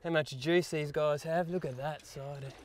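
A man, fishing from a small open boat at sea, explaining tactics between loud exclamations and laughs. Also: fairly high pitch, fairly even intonation, unhurried. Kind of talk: excited outdoor commentary. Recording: loud wind, water and motor noise.

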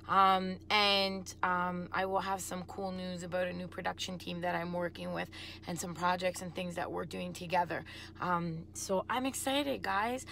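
A woman in her thirties talks casually in a selfie vlog. Her voice is bright and forward, at an ordinary pitch, picked up by a phone at arm's length. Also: a little hiss or hum. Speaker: singer vlogging. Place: small indoor room at home, later inside a car.

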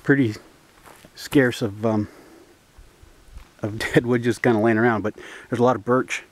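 A man narrating his canoe trip outdoors in a relaxed, rambling way with many fillers, recorded on a camera. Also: mid-range voice, unhurried. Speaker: outdoor vlogger.

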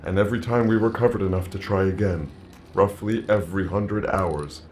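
A man plays an ancient alien hive mind in an audio drama. The voice sits very low and speaks with solemn, measured gravity. Faint noise lies behind it. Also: fairly even intonation, darkish tone, lightly acted. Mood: grave, accusing.

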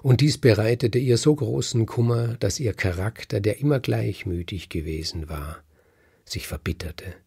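A man narrating a folk tale as a storyteller, with dramatic pauses and slow emphasis. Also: fairly low pitch, vocal fry, darkish tone, slightly rough.

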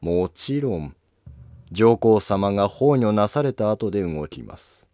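Scheming Heian-era courtier male actor, low register, unhurried, courteous and calculating, dry sound. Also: dry recording. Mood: cool, calculating. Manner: formal, calm, deliberate.

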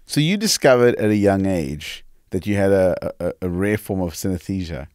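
A man with a South African accent hosts a podcast interview in a conversational way. His voice sits on the low side, and the close studio recording is dry. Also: animated, normal speed, a little mellow, dry recording. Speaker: podcast host. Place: small indoor podcast studio. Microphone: close studio microphone on arm.

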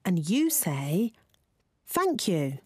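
A woman playing a patient, encouraging mummy rabbit in a children's cartoon, with a gentle motherly manner. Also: fairly low pitch, sing-song, very slow, slightly rough, no room sound, clean studio sound, exaggerated voice.

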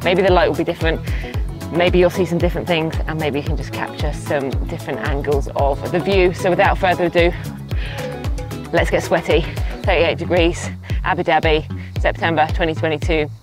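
A woman's voice: low-pitched, steady tone, a little fast. A woman, talking casually to camera as a travel photographer, upbeat and chatty, on a lapel mic outdoors. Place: outdoors by a roadside at dusk. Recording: background music playing.

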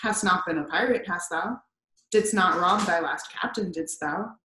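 Brief: woman doing a blustering, suspicious old sea captain, teasing and gruff, in an echoey room. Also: on the low side, moderate pace, bright, forceful tone, slight background noise. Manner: blustering, teasing, archaic speech.

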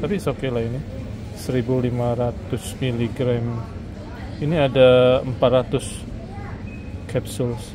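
A man's voice: mid-range voice, flat delivery, measured pace, pauses often, crowd noise, rough recording. A man from Indonesia talking casually to viewers while filming a shopping trip, pointing out prices as he walks. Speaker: vlogger. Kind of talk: casual shopping vlog narration. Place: shops and street outdoors.

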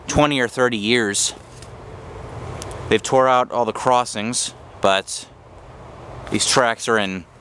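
A man's voice: lowish voice, sharp tone. A man narrating as he walks through overgrown woods, talking into a handheld camera mic with rambling restarts. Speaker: vlogger exploring abandoned railroad tracks. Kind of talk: handheld walking commentary. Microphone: handheld camera mic while walking. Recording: outdoor noise behind the voice.

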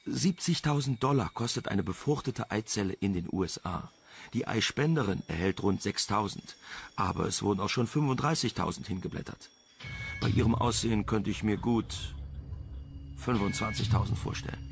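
Radio crime drama: smug, flirty male reporter, low register with fry, suggestive delivery, faint noise behind. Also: normal speed. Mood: smug, chatty. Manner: wry, suggestive, teasing.

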